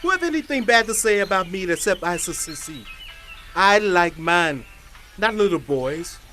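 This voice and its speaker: Man acting a high-pitched, animated Caribbean gardener. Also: normal speed, faint music behind. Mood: indignant, shocked. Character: feisty, indignant Caribbean gardener, a doting father. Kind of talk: dramatic stage dialogue.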